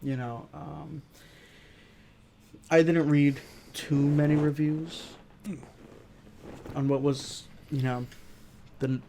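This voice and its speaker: A male co-host with plenty of vocal fry gives his opinions in a casual chat. He comes across laid-back and agreeable, talking in a loose, conversational way. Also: fairly high pitch, fairly even intonation, takes it slowly, pauses often, warm tone, slight background noise. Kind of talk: casual chat, film review.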